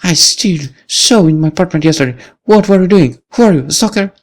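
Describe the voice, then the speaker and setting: A man voicing a blunt, sharp-tongued young sporty woman who fires accusing questions. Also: sits high, animated, brisk, pitched above the performer's own voice.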